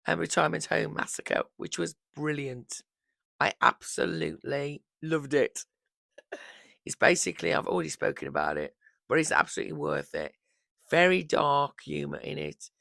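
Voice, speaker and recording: Gravelly-voiced woman in her fifties. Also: deep voice, no room sound. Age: 50s. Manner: chatty, rambling, warm fond asides. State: losing her voice, hoarse. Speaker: book vlogger. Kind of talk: casual chat about books. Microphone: microphone on a holder.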